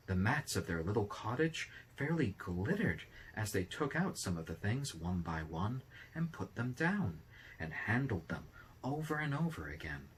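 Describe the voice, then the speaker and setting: A man reading a fairy tale aloud as an expressive narrator. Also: on the low side, a little hiss or hum, rough recording. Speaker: storybook reader.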